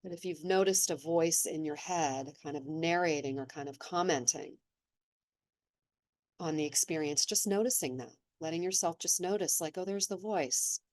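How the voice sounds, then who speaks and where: A woman slowly and gently leading a guided meditation, speaking in a soothing way. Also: low voice, no echo, clean studio sound. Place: seated in an office chair.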